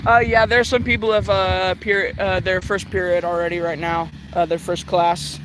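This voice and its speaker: High-voiced teenage boy, outdoors. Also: flat delivery, normal speed. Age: teens, high school student. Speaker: livestream host, shortwave radio hobbyist. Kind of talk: casual live commentary to chat listeners. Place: outdoors in a park next to a school. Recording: noisy outdoor recording with traffic. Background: outdoor urban ambience, passing vehicles.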